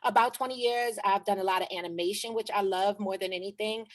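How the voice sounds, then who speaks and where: A woman's voice: medium pitch, steady tone, fast talker. A woman voice actor chatting casually and animatedly on a video call from her home. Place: home in Los Angeles.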